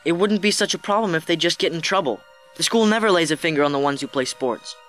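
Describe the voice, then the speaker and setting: A woman's voice: on the low side, fast, soft background music, lightly acted. A woman playing a troubled, defensive schoolboy with a sullen manner in an audio drama.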